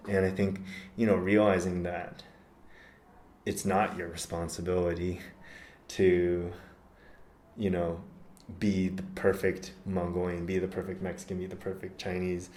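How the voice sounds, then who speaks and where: Deep-voiced man in a roomy space. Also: level tone, darkish tone, a little hiss or hum. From United States. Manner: thoughtful, measured, with frequent ums and self-corrections. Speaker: podcast guest. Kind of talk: casual conversation. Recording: roomy echo.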